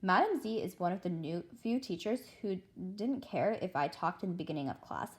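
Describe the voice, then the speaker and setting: A woman narrating a children's novel aloud in an expressive, dramatic style, now and then stumbling over words. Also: on the low side, a little fast. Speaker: read-aloud host.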